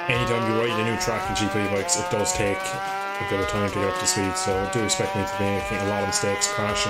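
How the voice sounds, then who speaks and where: A low-voiced man talks through a live motorbike game stream in a rambling, chatty way, swearing under his breath at every crash. Loud music pounds behind him over the roar of the game. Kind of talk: live gameplay commentary.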